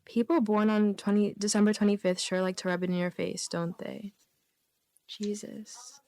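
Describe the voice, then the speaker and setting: A woman with a mid-pitched voice in a very dry recording. She is a guest on a comedy show, reading written jokes aloud and dropping in casual comments of her own. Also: flat delivery, normal speed, dark tone, no room sound.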